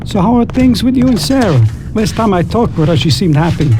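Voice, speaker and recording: A man's voice: sits high, animated, brisk, noisy background. A man playing a friendly, family-minded mobster pal in a video game. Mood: friendly, caring. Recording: loud music under the voice.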